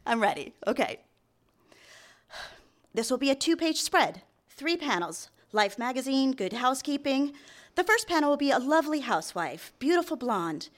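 An actress playing an eager, apologetic young secretary and secret mistress in a live radio drama, confiding and deferential. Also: female voice, high voice, big pitch swings, moderate pace. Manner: deferential, hesitant in dialogue, confiding in narration. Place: live on stage in a theater.

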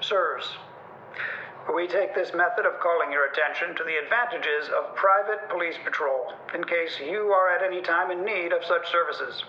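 A high-pitched, formal Victorian agency superintendent , acted out by a man, declaims a business circular to prospective clients. The recording is muffled and roomy, like an old radio reading. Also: normal speed, slight background noise, lightly acted. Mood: formal, businesslike.

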